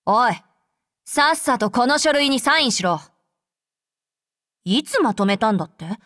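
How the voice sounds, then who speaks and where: A woman voicing a brash, tsundere young female android soldier in an anime game, with rough, blunt, tomboyish speech. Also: a little high, lively intonation, unhurried, a bit bright, no room sound, studio quality. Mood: teasing, bossy. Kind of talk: Japanese anime game character voice lines.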